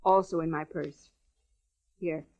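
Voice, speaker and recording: An American actress playing a businesslike female gem dealer, crisp and matter-of-fact, in old-time radio drama style. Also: low-pitched, steady tone, slow, a little hiss or hum. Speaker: radio actress in supporting part. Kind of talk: 1940s-50s American radio drama acting. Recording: dull old radio recording.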